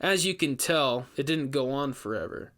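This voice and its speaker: A man narrates a horror story in the first person. His voice sits at a middle pitch. He delivers it as scripted storytelling. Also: animated, normal speed, a bit bright.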